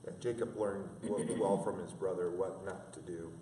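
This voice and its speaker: A man in his fifties, a father, reflecting in a halting, filler-heavy way. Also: deep voice, steady tone, warm tone. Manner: halting, many fillers and restarts. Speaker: interview guest, father. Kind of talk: reflective conversational interview. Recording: echoey room from a distance.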